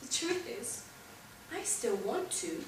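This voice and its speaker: A young woman playing a passionate, yearning stage actress in a theatrical monologue, with dramatic, halting delivery that comes in bursts. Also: high voice, very animated, full character voice. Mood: impassioned yearning. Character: passionate, yearning stage actress mourning her lost gift. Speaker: drama student performing an exam monologue. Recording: very echoey room, distant mic, faint noise.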